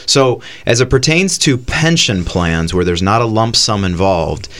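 A man's voice: fairly low pitch, expressive, measured pace, a bit bright. A man hosting a radio money show, patiently explaining retirement accounts to a caller. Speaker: financial advisor radio host. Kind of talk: explaining finances on call-in radio.

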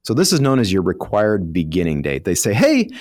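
Male financial expert, American, low register, dry studio sound, talks listeners through rules conversationally. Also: huge pitch swings, a little fast, a little mellow, dry recording. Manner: explanatory, informal, voices hypothetical first-person examples. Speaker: retirement planning professional, guest expert. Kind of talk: conversational explaining of financial rules on a talk show.

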